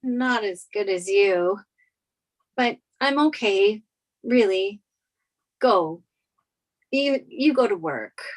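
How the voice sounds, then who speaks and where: A woman's voice: medium pitch, lively intonation, slow pace, pauses often. An actress reading the part of a naive, sheltered young woman in a sitcom table read. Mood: subdued.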